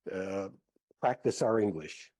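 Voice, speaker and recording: An American man speaking as an interview guest, a professor recounting things in a halting, casual way. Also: deep voice, a little mellow. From United States.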